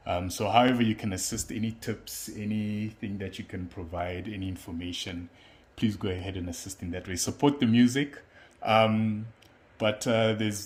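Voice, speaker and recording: A man hosting a casual interview with a musician, talking in a friendly plain way with some fillers. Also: fairly low pitch. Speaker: interview host.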